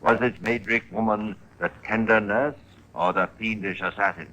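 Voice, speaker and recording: A man hosts a 1950s radio crime drama with scripted narration. His voice is fairly low but bright and forceful. He speaks in a measured, theatrical way, leaning on key words. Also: fairly even intonation, muffled recording. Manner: measured, theatrical emphasis with rhetorical questions. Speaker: narrator and host.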